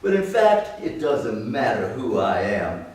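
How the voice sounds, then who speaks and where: A man's voice: medium pitch, very animated, measured pace, darkish tone. A man playing a menacing stranger, smug and mock-friendly, in a readers theater piece. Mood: smug, taunting. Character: menacing male stranger, falsely cheerful, taunting. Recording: very echoey room, distant mic.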